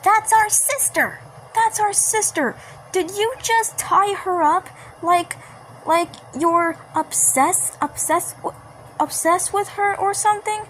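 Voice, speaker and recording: A woman plays an indignant young cartoon heroine, high-pitched and confrontational. She stumbles and repeats her demands at a slow pace, protective of her sister, in an amateur fandub. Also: a bit bright, slight background noise, full character voice, low-quality recording. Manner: stammering, repetitive, confrontational.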